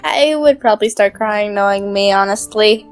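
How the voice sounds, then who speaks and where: A woman's voice: mid-range voice, normal speed, close to an everyday voice. A woman playing a meek, timid peasant in a cartoon skit, speaking in a hesitant, understated, plain way. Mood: meek, flat. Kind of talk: hobby animated skit voice acting.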